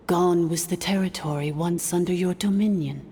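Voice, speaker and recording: An ancient machine ruler acted by a woman speaks low and mellow in a long, cavernous reverb. The manner is formal, imperious and calm, solemn game dialogue with music underneath. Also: a little hiss or hum, natural voice. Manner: formal, measured, stately. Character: calm, imperious female ancient dragonkind artificial intelligence sovereign.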